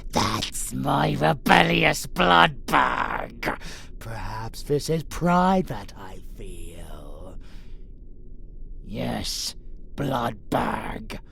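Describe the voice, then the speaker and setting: A man playing a menacing vampire abomination in a monster audio roleplay, theatrical and taunting, with a gravelly growl. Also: medium pitch, expressive, drawn-out words, pauses often, exaggerated voice. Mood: gloating, proud. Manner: theatrical, taunting, foul-mouthed, swinging from whispers to shouts. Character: menacing vampire abomination, theatrical, sadistic, grudgingly fond predator. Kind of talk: monster audio roleplay, dramatic villain monologue.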